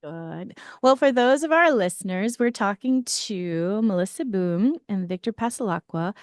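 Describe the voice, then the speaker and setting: A woman hosting a casual radio interview from a studio, chatty and quick to laugh. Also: mid-range voice, dry recording. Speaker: radio show host. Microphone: studio mic.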